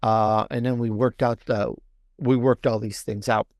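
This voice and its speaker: A man's voice: mid-range voice, little pitch movement, unhurried, a little mellow, no room sound, clean studio sound. A man speaking as a guest author in a conversational interview, explaining things in an enthusiastic, rambling way. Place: video interview with book backdrop.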